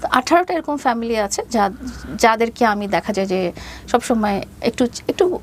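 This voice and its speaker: A woman's voice: a little high, animated, slight background noise. A woman in her fifties with a Bangladeshi accent, telling a personal story as an interview guest, hesitantly and with English phrases mixed in. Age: 50s. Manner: hesitant, halting delivery, mixing in English phrases.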